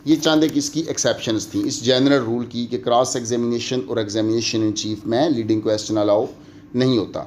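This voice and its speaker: A male lecturer with a Pakistani accent explains in a didactic manner at a medium pitch. He moves between his own language and English, slowing on the English phrases. There is faint noise behind the voice. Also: fairly even intonation, darkish tone. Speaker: law lecturer.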